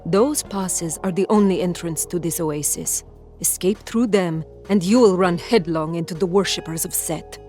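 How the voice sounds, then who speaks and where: A woman's voice: on the low side, normal speed, warm tone, soft background music, natural voice. A woman voicing a proud warrior priestess of ancient Egypt in a video game cutscene, grave and commanding.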